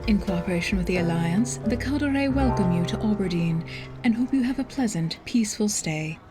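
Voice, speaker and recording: Fantasy port announcer female actor, polite formal public address delivery, music playing clearly underneath. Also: medium pitch, expressive, a little fast, lightly acted. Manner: formal, courteous.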